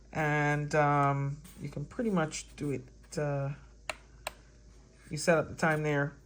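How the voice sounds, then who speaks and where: An American woman giving a casual hands-on gadget review, thinking aloud in a halting way. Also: low voice, a bit bright, a little hiss or hum. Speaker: product reviewer.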